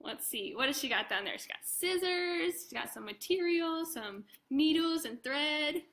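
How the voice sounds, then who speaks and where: Woman, high-pitched, bright forceful tone, children's author read-aloud, expressive, informal asides. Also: measured pace. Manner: expressive, addresses young listeners directly; casual, informal commentary between passages. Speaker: children's author reading own book aloud. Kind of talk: animated picture-book read-aloud with chatty asides.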